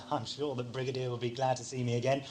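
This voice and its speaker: A man's voice: mid-range voice, level tone, brisk, darkish tone, a little hiss or hum, close to an everyday voice. A man playing an eccentric, absent-minded alien time traveller in an audio drama, with a British accent and a playful, musing, quaintly polite manner. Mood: jaunty, whimsical. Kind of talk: amateur audio drama acting, 1980s. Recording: 1980s audio drama with music behind.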